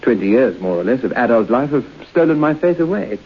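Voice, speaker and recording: A man acting a sceptical, faithless character in a radio horror drama, speaking in a polite, measured, somewhat hesitant manner. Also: medium pitch, big pitch swings, slightly rough. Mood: earnest, weary. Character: sceptical, faithless, uncommitted man hired for a haunted night. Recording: dull, muffled old radio broadcast.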